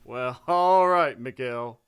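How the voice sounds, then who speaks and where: A man's voice: medium pitch, slow, a bit bright, clear, resonant tone. A man playing a jovial, boastful, pestering neighbor in a hammy, blustery old-time radio comedy manner. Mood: sly, chummy.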